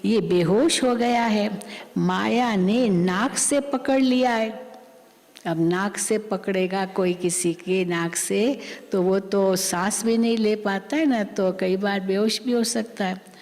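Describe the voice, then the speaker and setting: A woman spiritual teacher giving a sermon, explaining a scripture reading slowly and repeating her points. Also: mid-range voice, darkish tone. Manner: slow, repetitive, explanatory. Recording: long hall reverb.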